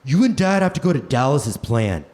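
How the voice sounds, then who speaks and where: A man's voice: medium pitch, sing-song, moderate pace, darkish tone, lightly acted. A man playing a grave time-traveling son with an ominous, declamatory manner in a live comedy radio play. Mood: grave, urgent. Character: Archie, grave time-traveling son warning his parents.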